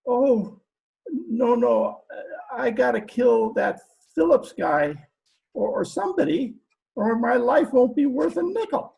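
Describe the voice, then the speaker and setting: A man's voice: extremely high pitch, sing-song, slow pace, creaky, darkish tone, exaggerated voice, rough recording. A man playing a dim, lovestruck, trigger-happy gangster with slangy, uneducated talk in a 1940s-style radio comedy. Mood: eager, murderous. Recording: home video-call recording.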